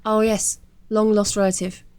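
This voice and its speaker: A woman acts out a nosy investigator in an audio drama. She is cheeky, probing and smooth-talking, in a mid-pitched voice. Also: level tone, normal speed, a little mellow, close to an everyday voice. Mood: breezy.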